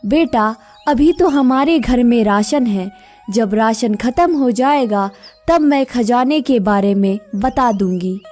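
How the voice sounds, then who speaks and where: Woman voicing a high, patient village mother. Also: takes it slowly, a little mellow, faint music behind. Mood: gentle, instructing. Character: widowed village mother, patient, instructing her idle sons.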